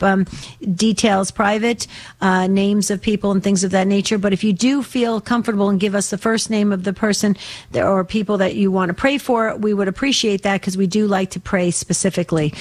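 A woman hosting a religious radio call-in show in a chatty, earnest, informal way, with a slightly raspy voice. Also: medium pitch.

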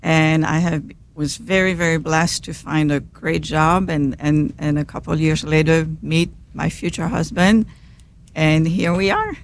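A middle-aged woman from Belgium with a French accent and French as her first language, a guest on a call-in radio show, talking in a friendly, halting way. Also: low voice. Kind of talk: casual radio interview.

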